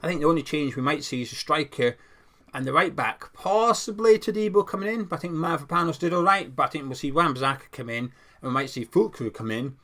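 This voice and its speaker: A young man with a croaky voice, giving his football opinions in a casual, conversational monologue with some restarts as a channel host. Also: a little high, fast, a bit bright.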